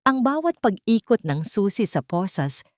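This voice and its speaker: A woman's voice: medium pitch, sing-song, normal speed, no echo, clean studio sound. A woman narrating a scripted dramatic story in a solemn, emotive manner.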